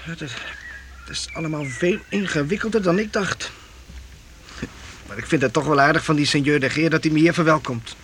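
Male actor as modest young nobleman, hesitant and polite. Radio drama, fairly high voice, clear background noise. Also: a little fast, pauses often, fairly bright tone, close to an everyday voice. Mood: overwhelmed, grateful. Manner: hesitant, polite, repeats words. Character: modest, earnest young Amsterdam baron, somewhat overwhelmed. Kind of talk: radio play acting.

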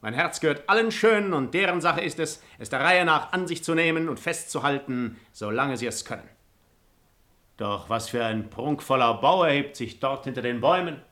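A man's voice: fairly high pitch, animated, moderate pace, sharp tone. A male actor in a classic radio play, playing a proud, unrepentant nobleman seducer with a composed, haughty, formal manner. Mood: nonchalant.

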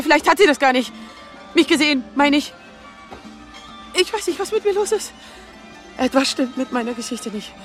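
Hohe, unruhige Frau Mitte dreißig. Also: female voice, high-pitched, fairly bright tone. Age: 30s. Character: anxious, lovelorn, brooding woman of thirty-five. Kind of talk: naturalistic German radio drama acting.

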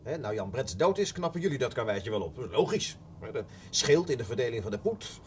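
A man's voice: mid-range voice, big pitch swings, fairly quick, slight background noise, natural voice. A man playing a sarcastic police detective in a radio drama, needling with pointed questions and remarks.

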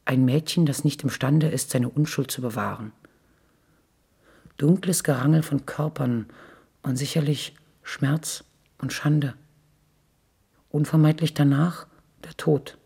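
A woman reading scripted literary prose as a narrator, with a raspy voice. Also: deep voice, measured pace, pauses often, dark tone.

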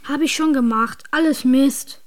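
Aggrieved, high-voiced child. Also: female voice, a little slow. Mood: grumpy. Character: aggrieved, secretive child, niece of the hero. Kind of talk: radio drama acting.

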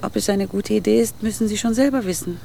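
An actress playing a reluctant, frank woman with a creaky voice and short patience, in a naturalistic radio drama. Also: mid-range voice, quick pace, mellow, slight background noise, natural voice. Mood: reluctant, doubtful.

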